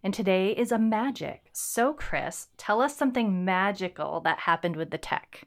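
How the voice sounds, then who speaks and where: A woman's voice: mid-range voice, expressive, moderate pace. A woman co-hosting a casual home-recorded podcast, upbeat and teasing, with fillers. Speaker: writer and podcast co-host. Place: home recording setup in a house.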